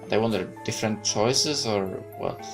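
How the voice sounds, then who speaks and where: A man hosting a gaming video, reading a visual novel aloud in a halting, dramatic way with asides. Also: on the low side, over music.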